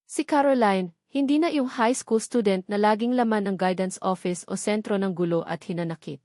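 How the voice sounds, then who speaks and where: A woman narrating a scripted love story in a sentimental, measured way with dramatic pauses, switching between Tagalog and English. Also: mid-range voice, darkish tone, no room sound, studio quality.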